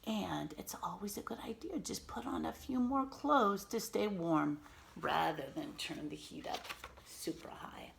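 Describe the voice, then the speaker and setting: Animated woman reading aloud, slightly creaky voice. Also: medium pitch, a little hiss or hum. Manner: patient and expressive, pausing to explain and question young listeners. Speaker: teacher reading a picture book aloud to children. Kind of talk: animated read-aloud with simple explanatory asides.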